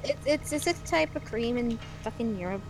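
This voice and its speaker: A woman's voice: fairly high pitch, animated, brisk, rough recording. A woman chatting casually in a group while playing a video game. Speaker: voice actor in a group game playthrough. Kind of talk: casual group banter over gameplay. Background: video game music.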